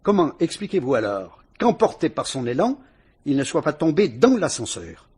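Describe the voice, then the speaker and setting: A man playing a patient police commissioner who speaks in a measured, deliberate, politely ironic way in a vintage radio drama. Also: a little high, sing-song, a little mellow, slightly rough, heavily acted.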